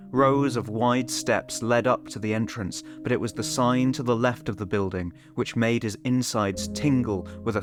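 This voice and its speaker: A man reading scripted audio fiction as the story's narrator. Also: on the low side.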